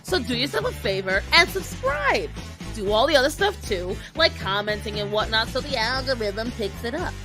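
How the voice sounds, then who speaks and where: A woman's voice: fairly high pitch, very animated, normal speed, over music, rough recording. A woman voicing a brash, foul-mouthed, confrontational young woman with bossy sass, bantering in character in a live Q&A. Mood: brash, taunting. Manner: confrontational, sassy, swearing, slangy.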